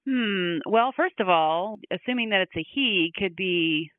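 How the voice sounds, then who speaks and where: A woman's voice: medium pitch, expressive, measured pace, no echo. Female co-host for fan podcast, reads emails and chats, tinny telephone-style audio with no room sound. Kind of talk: reading listener emails and chatting on a fan podcast. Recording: thin phone-like internet call line.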